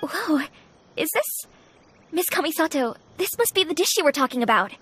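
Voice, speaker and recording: An actress playing a bubbly, friendly young restaurant owner in a video game, upbeat and enthusiastic. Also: female voice, high-pitched, sing-song, normal speed, fairly bright tone, slight background noise. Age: young adult. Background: game music.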